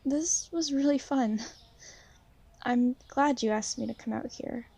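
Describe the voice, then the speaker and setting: A young woman playing an insecure, gentle newly turned vampire in an ASMR roleplay, speaking softly and haltingly, with shy apologies. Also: fairly high pitch, vocal fry, husky, no echo, heavily acted. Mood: flustered, shy. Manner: hesitant, halting, soft-spoken, apologetic. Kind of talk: ASMR roleplay, intimate one-sided conversation.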